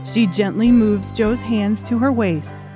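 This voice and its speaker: A woman's voice: mid-range voice, a little slow. A woman reading scripted audiobook narration in the present tense, telling a story. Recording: music under the voice. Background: instrumental music.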